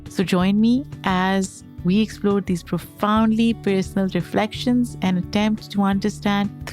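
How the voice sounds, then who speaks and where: A woman's voice: mid-range voice, a little mellow. A woman hosting a podcast, reading a scripted introduction in an inviting way. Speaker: podcast host.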